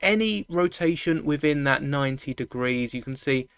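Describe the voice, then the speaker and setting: A man's voice: mid-range voice, lively intonation, normal speed, a bit bright, clear, resonant tone, no room sound, studio quality. A man hosting a hobby showcase video, chatting to viewers in rambling explanations. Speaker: YouTube host of a toy-building channel. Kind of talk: enthusiast video presentation, product showcase.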